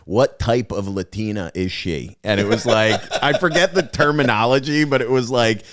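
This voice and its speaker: A man guesting on a comedy podcast, telling stories in a lively way and acting out the people he quotes. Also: mid-range voice, normal speed, no echo, studio quality. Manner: animated storytelling, acting out quotes. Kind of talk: casual comedy podcast chat.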